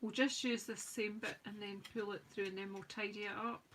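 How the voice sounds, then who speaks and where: A woman with a slightly croaky voice, chatting and rambling as she hosts a live craft demonstration. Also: medium pitch, fairly even intonation, brisk, a bit bright, a little hiss or hum. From United Kingdom. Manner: chatty, rambling, self-deprecating, talks while working. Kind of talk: live-stream craft demo chat.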